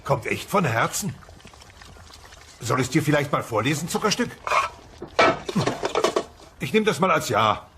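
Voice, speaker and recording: A man playing a boorish dinosaur husband in a comedy audio play, brash and quick to make whiny excuses. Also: a little high, sing-song, very noisy. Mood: sheepish coaxing. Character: loud, boorish dinosaur husband and son-in-law. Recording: music behind the dialogue.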